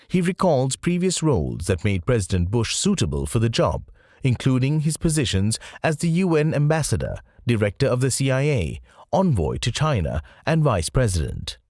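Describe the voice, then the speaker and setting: A man narrates a nonfiction audiobook from a script. His intonation rises and falls dramatically across phrases, while the delivery stays measured and carefully enunciated. The sound is dry, with almost no room around the voice. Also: medium pitch, darkish tone, no room sound.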